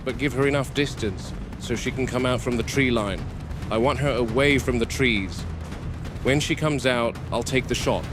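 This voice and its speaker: A man playing a calm, commanding veteran game warden in found-footage horror, speaking in measured, terse, authoritative phrases. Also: mid-range voice, close to an everyday voice. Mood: calm, calculating. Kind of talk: found-footage horror film acting and log narration. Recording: VHS-style found footage, music underneath.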